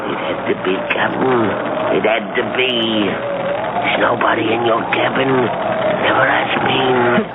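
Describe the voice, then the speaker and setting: An actor playing a rough, wry, fatalistic ship's cook in a radio drama, with salty sailor talk. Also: male voice, mid-range voice, measured pace, lightly acted. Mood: grimly accusing. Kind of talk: 1970s radio drama acting. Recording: tinny, very noisy old radio broadcast.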